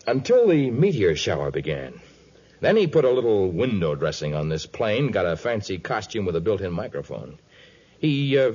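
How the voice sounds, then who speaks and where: A man playing a calm, commanding jungle hero in an authoritative, deliberate 1950s radio adventure style. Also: sits low, husky, full character voice. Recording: dull vintage 1950s radio recording.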